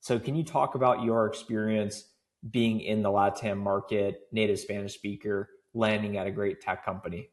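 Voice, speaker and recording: Guy hosting a video call interview, lowish voice, upbeat, sets up long questions. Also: steady tone, normal speed. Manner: encouraging, long framing questions. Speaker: host.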